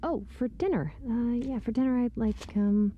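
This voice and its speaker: Actress as a high-voiced young female police captain. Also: normal speed, muffled recording, natural voice. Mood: casual, slightly flustered. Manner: crisp, formal, dutiful. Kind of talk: anime game trailer voice acting. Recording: music behind the voice.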